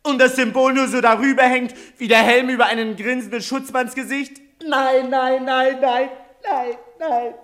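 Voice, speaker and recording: An actor playing a passionate, idealistic teenage son in a German radio play, vehement and repeating himself. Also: male voice, extremely high pitch, little pitch movement, normal speed, few pauses, a bit bright. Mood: indignant, defiant.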